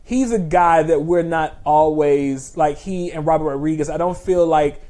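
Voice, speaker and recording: A man's voice: a little high, normal speed, mellow. A man co-hosting a film talk show, chatting casually with strong opinions and repeating phrases for emphasis. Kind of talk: opinionated casual chat about movies.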